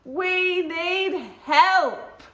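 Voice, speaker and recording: A woman's voice: very high voice, extremely slow, fairly bright tone, pitched above the performer's own voice, heavily acted. A woman voicing an exasperated storybook dad who has troublesome dogs. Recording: roomy echo, distant mic.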